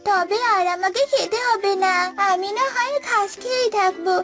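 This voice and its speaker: A very high-pitched, childlike young calf played by a woman from a children's cartoon, with music behind. She is sulky and spiteful, flinging sarcastic accusations in a whiny manner. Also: normal speed. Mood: sulky, jealous.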